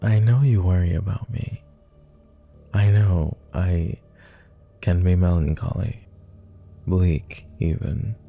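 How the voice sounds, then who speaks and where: A very dark, mellow vampire lord played by a man, deep and heavy with gravelly fry. He speaks slowly and formally, tender and melancholy, as a clingy lover in an intimate roleplay ASMR. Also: steady tone, soft background music, heavily acted. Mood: earnest, tender. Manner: formal, old-fashioned wording, hesitant, tender.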